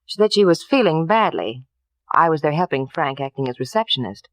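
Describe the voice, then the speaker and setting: An American woman playing a quiet, matter-of-fact wife in her thirties in a 1950s radio drama. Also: sits low, animated, fairly quick, dry recording. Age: 30s.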